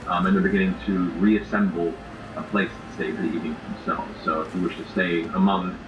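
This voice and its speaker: Male game master, low register, ornate narration with pauses. Distant mic, clear background noise. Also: steady tone, reverberant, highs cut off.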